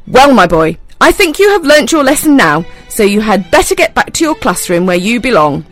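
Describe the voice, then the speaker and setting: A gruff pirate captain barks out orders, as a woman reading a bedtime story puts him on. His voice is loud and swoops between commands. Quiet music plays underneath. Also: animated, normal speed, close to an everyday voice. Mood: stern. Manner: booming, commanding. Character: gruff bellowing male pirate captain, stern.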